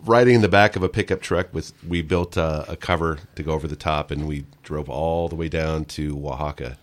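A man's voice: very deep voice, brisk. A man, a former radio DJ, guesting on a radio show and telling stories in an easygoing way. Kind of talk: casual interview storytelling.

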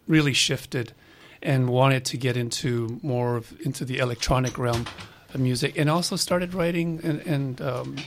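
A man from Los Angeles, a musician guest on a radio show, answering in a relaxed, rambling way with lots of fillers. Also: mid-range voice, moderate pace, a little hiss or hum. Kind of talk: casual interview answers.

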